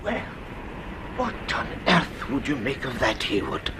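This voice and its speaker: A thoughtful senior man of science in a radio serial. His delivery is educated and musing with lively intonation, over clearly audible background noise. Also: mid-range voice, unhurried. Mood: pondering. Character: thoughtful senior male scientist running a telescope station. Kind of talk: radio drama dialogue.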